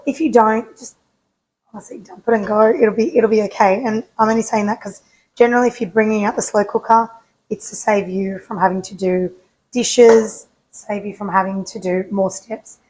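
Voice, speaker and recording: A woman with a croaky voice talks through a recipe in her kitchen. She chats casually, asks herself questions and answers them as she cooks, with pitch in the middle of the usual range. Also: steady tone, normal speed, a little mellow, a little hiss or hum, rough recording. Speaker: cooking show host. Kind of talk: casual cooking demonstration.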